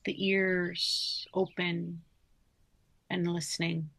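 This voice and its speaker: A woman leads a guided relaxation in a slightly low voice with very little rise and fall. She is soothing and unhurried, leaving long pauses between short, gentle instructions. Her delivery is very slow throughout. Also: flat delivery, creaky. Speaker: yoga nidra guide.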